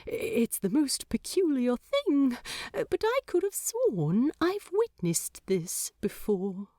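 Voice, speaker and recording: A woman's voice: sits high, very animated, slow pace, mellow, no echo, studio quality, pitched above the performer's own voice, full character voice. A woman voicing a flustered, fussy male angel who stammers in a raised, breathless voice.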